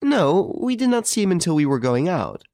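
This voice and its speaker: A man's voice: high-pitched, sing-song, moderate pace, warm tone, no room sound, clean studio sound, pitched above the performer's own voice. A man voicing a polite, anxious old lady who pleads earnestly in an audiobook. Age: older.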